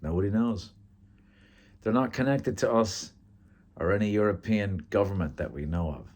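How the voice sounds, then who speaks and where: A man's voice: on the low side, normal speed, a little mellow, voice pushed up, close to an everyday voice. A man with an American accent voicing a guarded, weary intelligence man talking to friends in a story.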